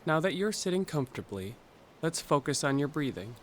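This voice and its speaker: A man narrating a scripted guided meditation in a calm, gentle, instructional way, leaving pauses between phrases. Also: fairly high pitch, a little hiss or hum.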